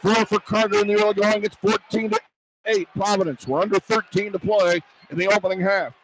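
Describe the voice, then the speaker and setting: A male radio play-by-play announcer with a high-pitched voice calls basketball live. He speaks in clipped, running phrases that climb with the action, over faint arena noise. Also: steady tone, a little fast, rough recording. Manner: clipped running phrases, voice rising to shouts on big plays. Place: courtside in a college basketball arena. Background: arena ambience, faint noise.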